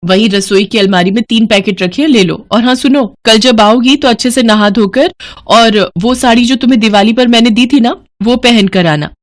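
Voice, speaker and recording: A woman's voice: a little high, normal speed, close to an everyday voice. A woman voicing a busy young city wife and employer who gives instructions in a moral story cartoon. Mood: brisk, instructing.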